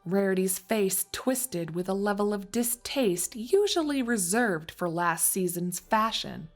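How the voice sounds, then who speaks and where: A woman's voice: medium pitch, expressive, unhurried, faint music behind. A woman reading scripted story narration as the narrator of a fan fiction audio drama.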